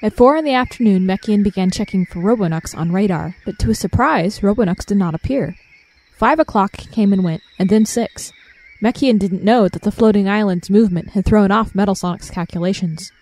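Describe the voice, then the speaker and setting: Narrator: medium pitch, scripted storytelling for an amateur radio drama. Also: fairly quick, darkish tone.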